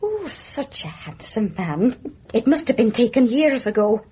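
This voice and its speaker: Irish nurse, female, highish voice, very animated, chatty, confiding, radio drama, slight background noise. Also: a little slow. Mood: confiding, hushed. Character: chatty, gossipy Irish nurse in a country house.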